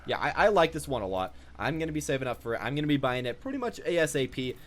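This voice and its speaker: Young guy chatting casually about a video game, talks quick, pitch bouncing around, bit of noise behind him. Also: big pitch swings, fairly bright tone. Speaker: gaming video creator. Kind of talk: casual off-the-cuff game showcase commentary. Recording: noticeable background noise.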